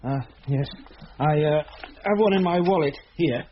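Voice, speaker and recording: A man's voice: fairly high pitch, a little slow, lightly acted. An actor playing a flustered, protective British father in a radio drama, indignant and fussy, often stammering. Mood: anxious, subdued. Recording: dull, noisy old radio recording.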